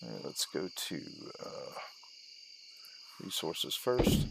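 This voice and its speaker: A man's voice: rumbling low, big pitch swings, slow pace, vocal fry, noisy background. A man giving casual commentary as he plays a video game, narrating his own actions in short clipped phrases. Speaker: gaming video host.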